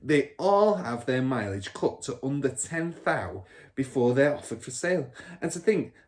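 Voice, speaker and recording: A man voicing a boastful, bullying car-dealer father in a storybook, loud and scornful. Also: medium pitch, sing-song, moderate pace, voice pushed up, lightly acted.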